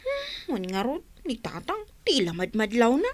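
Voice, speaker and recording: An actress playing a sullen, sleepy, lazy teenage daughter with a whiny way of talking in an Ilocano radio drama. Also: female voice, on the high side, huge pitch swings, normal speed, dry recording.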